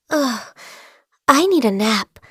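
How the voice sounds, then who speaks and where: Casting: a high-voiced, shy, dutiful young game secretary, soft and earnest, dry studio read. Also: female voice, husky, no echo, exaggerated voice. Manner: soft-spoken, earnest, a little hesitant. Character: gentle, shy, diligent young female secretary. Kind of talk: video game character voice lines. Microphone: close studio mic.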